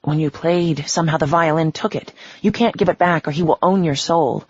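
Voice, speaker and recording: A woman's voice: low voice, fairly quick, husky, no echo, muffled recording. A woman reading an audiobook, voicing a young male fallen angel who is protective and speaks with earnest tenderness. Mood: urgent.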